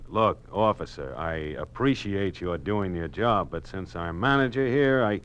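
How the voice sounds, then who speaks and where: An actor playing a principled, defiant plant manager in a 1950s radio drama, earnest and firm. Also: male voice, deep voice, expressive, unhurried, fairly bright tone, smooth. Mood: measured, determined.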